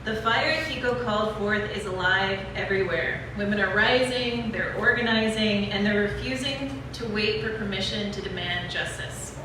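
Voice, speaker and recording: A woman's voice: mid-range voice, normal speed, few pauses. A woman delivering scripted narration as presenter, introducing readings at an activist event. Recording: echoey hall from far off, clear noise.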